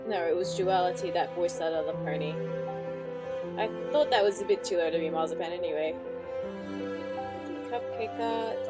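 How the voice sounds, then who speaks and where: A woman's voice: lowish voice, moderate pace, pauses often. A woman giving casual, enthusiastic reaction commentary as the host, with music behind her.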